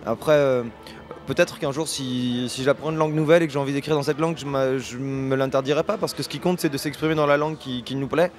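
A man's voice: medium pitch, steady tone, fast talker, soft background music. A man in his thirties, a band's singer, answering interview questions hesitantly with many restarts, in a festival radio booth. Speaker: singer and lyricist of a band. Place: festival radio booth in front of a sponsor backdrop. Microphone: handheld radio mic held by the host.

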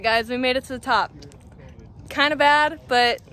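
A woman's voice: sits high, normal speed, bright, forceful tone. Upbeat young woman vlogging on a phone. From Arizona. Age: 20s. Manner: chatty, upbeat, rambling asides. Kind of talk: casual vlog to camera. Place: car, home and outdoor trail. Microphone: phone camera at arm's length.

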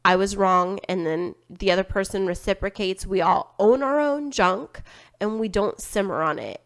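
A woman's voice: medium pitch, expressive, moderate pace. A young adult woman co-hosting a podcast in casual chat, saying "like" a lot. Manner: chatty, says "like" often. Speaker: podcast co-host. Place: home studio.

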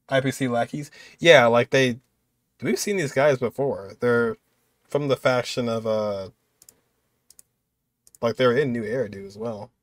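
Casual young man reacting. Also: mid-range voice. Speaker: reaction video host.

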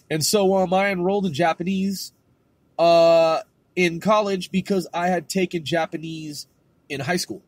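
A man's voice: sits high, no room sound. A middle-aged man with a West Coast accent, hosting his own podcast in a rambling, slangy, casual monologue. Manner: rambling, laid-back slangy talk with lots of swearing and fillers. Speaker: podcast host.

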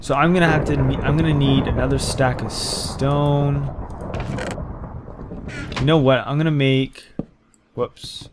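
Young male let's-player, mid-pitched, chatty and halting, restarts a lot; faint background noise. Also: fairly even intonation. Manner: rambling, halting, thinking aloud with many fillers and restarts. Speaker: gameplay commentator. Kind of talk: casual let's-play commentary.

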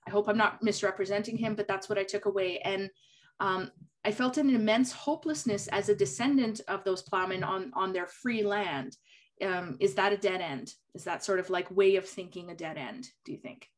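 A woman's voice: mid-range voice, moderate pace. A young woman moderating a Q&A over a video call from a home office, reading questions aloud in a friendly way. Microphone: video call webcam.

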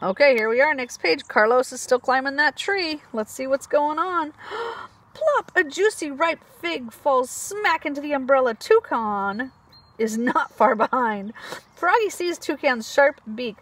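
A woman preschool teacher reading a picture book aloud to young children in an animated, expressive way, with chatty asides. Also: high voice, a little slow.

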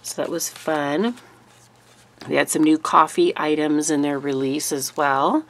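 A woman YouTuber recovering from a cold, her voice deeper than normal, chatting casually through a monthly craft recap at her desk. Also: unhurried. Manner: chatty, relaxed, with fillers. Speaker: papercraft YouTuber. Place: desk in a room, overhead camera on a cutting mat.

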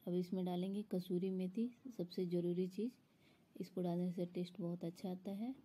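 A woman's voice: on the low side, little pitch movement, normal speed, dark tone, husky. A woman explaining a recipe step by step in an instructional voice-over, as a home cook. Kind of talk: step-by-step cooking tutorial voice-over.

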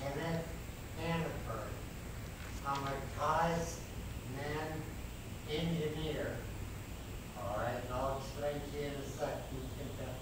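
Loud older man in an echoey chamber. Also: fairly high pitch, steady tone, takes it slowly, long pauses. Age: 80s. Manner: loud, emphatic, rambling warnings. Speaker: public commenter. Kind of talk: public comment at a council meeting. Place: large council chamber, speaking from a chair. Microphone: far room mic. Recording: echoey chamber from far off with hiss.

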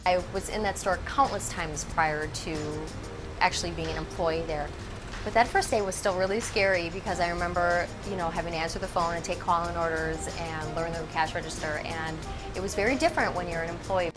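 A woman's voice: lowish voice, a little fast, music in the background. Reflective woman in an interview. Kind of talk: documentary interview.

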